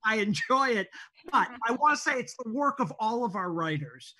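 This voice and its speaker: A woman's voice: a little high, big pitch swings, normal speed, pushed and bright, husky. A woman who writes for television, making casual remarks on a video call. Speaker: show writer and producer. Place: home room, video call.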